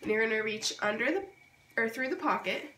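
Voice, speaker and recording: Female host for an informal how-to demo, hoarse and under the weather, explaining step by step, picked up from a distance in a noisy space. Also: a little high, expressive, brisk, sharp tone. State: hoarse from being sick. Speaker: babywearing tutorial host. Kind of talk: casual hands-on tutorial. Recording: roomy, distant mic, noisy background.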